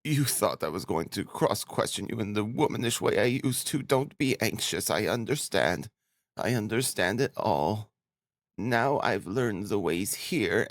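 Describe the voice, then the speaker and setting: A man's voice: fairly low pitch, dry recording, clean studio sound, voice pushed up. A man voicing a doting, flustered elderly mother in an audiobook, gushing and rambling with tearful affection. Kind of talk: audiobook character acting.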